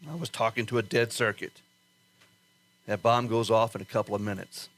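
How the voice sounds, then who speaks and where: A man playing a steady, soothing official in an old-time science fiction radio drama. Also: on the low side, normal speed, natural voice. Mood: somber. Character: steady base official soothing a troubled pilot friend.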